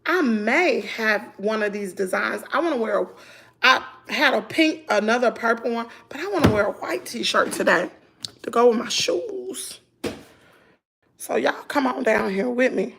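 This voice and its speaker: A middle-aged woman with a Southern US accent, chatting hoarsely to her viewers at home on a phone livestream. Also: on the high side, lively intonation, moderate pace, a bit bright, slight background noise. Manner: chatty, laughing, drawling, talks to "y'all". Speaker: vlogger on a morning livestream. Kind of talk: casual chat with viewers. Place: at home indoors.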